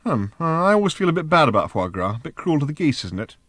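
A man's voice: fairly high pitch, big pitch swings, quick pace, a bit bright, dry recording, studio quality, voice pushed up. A man voicing a bewildered, mild-mannered, fretful everyman in an audiobook, hesitant and easily flustered. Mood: uneasy. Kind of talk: acted audiobook dialogue.

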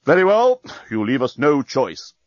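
Man putting on a booming, menacing fleet commander. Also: sing-song, moderate pace, dry recording, lo-fi sound, heavily acted. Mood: booming menace. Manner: loud, declamatory, imperious.

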